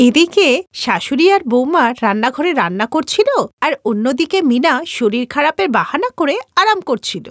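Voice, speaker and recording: A woman's voice: high-pitched, very animated, normal speed, no echo, studio quality. Woman narrator, plain storybook delivery. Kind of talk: scripted narration.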